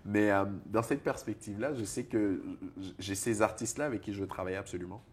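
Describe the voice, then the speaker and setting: Deep-voiced man, animated and laughing. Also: fairly quick, husky. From Togo. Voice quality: deep baritone. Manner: animated, laughs often, repeats words when searching. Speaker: singer-songwriter and poet guest. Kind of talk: conversational interview.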